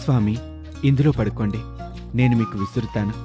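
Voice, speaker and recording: A man's voice: on the low side, a little fast, music in the background, lightly acted. A man playing a humble, kindly villager who speaks earnestly and deferentially in a cartoon moral story. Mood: gentle, earnest.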